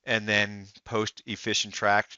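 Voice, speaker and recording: Bright, clear-voiced man demonstrating software. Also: fairly low pitch, completely flat delivery, measured pace, no echo, lo-fi sound. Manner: explanatory, step-by-step first-person walkthrough. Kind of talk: narrated software demo walkthrough.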